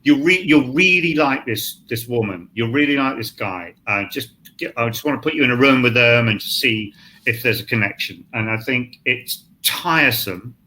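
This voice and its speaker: A man speaks on a video call as a panel guest, in a voice that is sharp and very bright. He rambles, restarts his phrases and is blunt about things. Faint background noise sits under him. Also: mid-range voice, normal speed. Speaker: scriptwriter guest.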